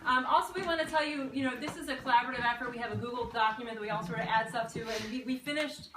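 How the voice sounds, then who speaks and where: A woman's voice: a little high, steady tone, racing, noisy background. A chatty, giggly woman giving guests an informal welcome as host of an amateur puppet show. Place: indoors at a home holiday party. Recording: echoey, distant, loud crowd behind. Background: party crowd chatter.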